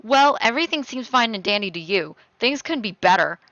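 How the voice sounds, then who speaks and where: A woman voicing a grieving, defiant teenage daughter in a dramatic story reading, halting and emotional. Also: on the high side, lively intonation, a bit bright, dry recording, muffled recording. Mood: angry, hurt. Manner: halting, pleading, rising to heated outbursts.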